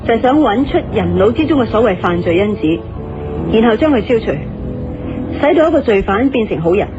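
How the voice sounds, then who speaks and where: A woman playing a tactful, astute wife who reasons calmly in a Cantonese radio drama. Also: a little high, a little fast, lo-fi sound, music in the background, natural voice. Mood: reasoned.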